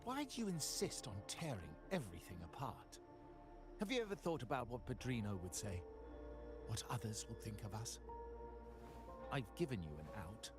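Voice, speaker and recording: An actor playing a scheming middle-aged uncle who heads a family, smooth and quietly threatening, in a video game. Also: male voice, mid-range voice, sing-song, normal speed, long pauses, close to an everyday voice. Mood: coaxing menace. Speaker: game character. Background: game music.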